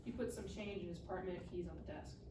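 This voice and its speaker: A woman's voice: fairly low pitch, fast, warm tone. A woman reading scripted screenplay narration aloud at a live table read. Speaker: stage directions reader. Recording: echoey room, distant mic, clear noise.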